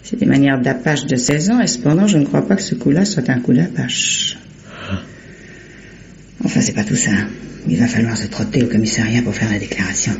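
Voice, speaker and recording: An actress playing a shrewd, streetwise female detective in an old French radio drama, assured and colloquial, giving orders briskly. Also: rumbling low, vocal fry. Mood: brisk, scheming. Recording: dull, hissy vintage radio recording.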